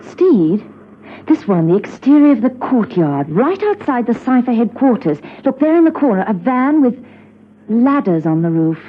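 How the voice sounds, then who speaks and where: A woman's voice: on the high side, big pitch swings, moderate pace. An actress playing a cool, clever woman secret agent in a radio drama, arch and observant. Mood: keen. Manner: arch, observant, playfully dry. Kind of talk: classic spy radio drama acting. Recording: dull, noisy old radio recording.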